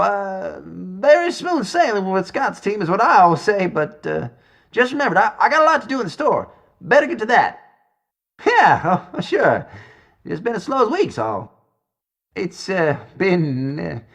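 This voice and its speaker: Animated folksy country storekeeper. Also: male voice, high-pitched, vocal fry, full character voice. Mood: hesitant, evasive. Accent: American country drawl. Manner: folksy, drawn-out country talk. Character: folksy, chatty small-town male storekeeper fussing about mice. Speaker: storekeeper character. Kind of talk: video game character dialogue.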